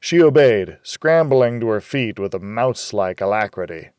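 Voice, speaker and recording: A man's voice: on the low side, animated, moderate pace, a little mellow, clean tone, no room sound, clean studio sound. A man narrating a first-person noir thriller audiobook in a wry, hardboiled storytelling manner.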